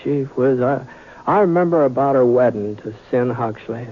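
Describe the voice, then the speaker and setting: Man acting mild western drifter, laconic, hesitant, folksy, medium pitch, old radio western, tinny sound. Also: normal speed, close to an everyday voice. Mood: easygoing. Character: easygoing, reluctant, kindly western drifter hero. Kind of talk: old-time radio western acting and first-person narration. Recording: thin, noisy classic radio recording with clicks.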